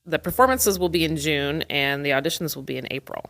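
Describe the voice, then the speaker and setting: A woman's voice: deep voice, fairly quick, a bit bright. A woman speaking as an interview guest, giving practical information in a casual, helpful way. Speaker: theater staffer, interview guest.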